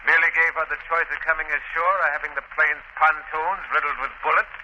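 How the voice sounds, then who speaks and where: Scheming, scornful crime boss, 1940s radio drama. Domineering, forceful, on muffled noisy old recording. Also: male voice, on the high side, little pitch movement, quick pace. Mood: gloating. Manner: sneering, domineering. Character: ruthless, scornful, scheming male criminal boss.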